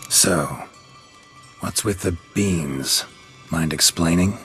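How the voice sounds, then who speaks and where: An actor playing a stoic monster hunter in a video game, with a deep gravelly voice and terse, deadpan phrasing. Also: male voice, slow pace, soft background music. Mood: curious, dry. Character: gruff, stoic, laconic male monster hunter.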